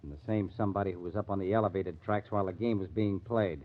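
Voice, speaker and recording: A skeptical, probing male investigator, played by an actor in a 1940s radio crime drama. His voice sits fairly low, and the old recording is thin and phone-like. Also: fast, close to an everyday voice. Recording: tinny, narrow-band old radio recording.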